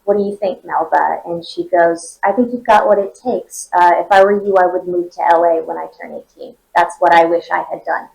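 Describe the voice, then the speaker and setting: Young woman, nasal, high voice, chatty, lots of ums, convention interview guest. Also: fairly even intonation, brisk, vocal fry, hardly any pauses, a little mellow, poor audio. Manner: chatty, many fillers. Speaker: voice actor guest.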